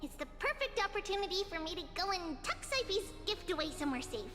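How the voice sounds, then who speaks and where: Super high cutesy game girl, teasing, echoey hall, bit of music behind. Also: big pitch swings, fast talker, bright, forceful tone, heavily acted. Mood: gentle, businesslike. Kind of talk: voice acting for an anime-style game. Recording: reverberant game audio with background music.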